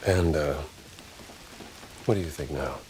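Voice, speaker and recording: An actor playing a gruff, wry American adventurer archaeologist in a video game, speaking in a dry, laconic way. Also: male voice, rumbling low, measured pace, noisy background. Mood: wry, intimate.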